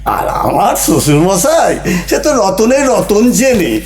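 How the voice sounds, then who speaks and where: A man's voice: high-pitched, sing-song, slow pace, darkish tone, echoey room, a little hiss or hum, heavily acted. An actor playing a mocking, argumentative husband who needles his wife in a theatrical comic audio play. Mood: indignant.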